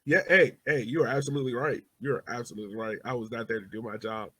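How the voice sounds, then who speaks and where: A man hosting a casual soccer fan podcast, talking in an animated, playful, slangy way. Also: medium pitch, quick pace, breathy edge. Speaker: sports podcast host.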